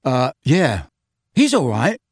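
An anxious teenage schoolboy sidekick played by a man in an audiobook. He is blunt and a little gruff, pitched up high with lively, hesitant phrasing. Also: brisk, no echo, clean studio sound, exaggerated voice.

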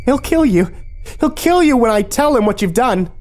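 A man voicing a snide, possessive young wife in an audio story, with a shrill, high-pitched voice. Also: big pitch swings, a little slow, darkish tone, faint music behind. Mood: alarmed, threatening. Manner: scoffing, stammers when cornered.

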